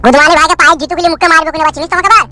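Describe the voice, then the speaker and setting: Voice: very high-pitched, fairly even intonation, racing, pushed and bright, heavily acted. A performer voicing a brash, foul-mouthed young village man who bickers and taunts in a comedy cartoon. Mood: threatening. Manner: taunting, swearing, slangy rural speech.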